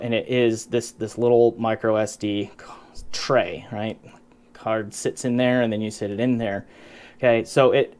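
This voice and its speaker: A man presenting an unscripted product review in a casual, conversational way, thinking aloud and correcting himself as he goes. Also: medium pitch. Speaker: audio gear reviewer, channel host.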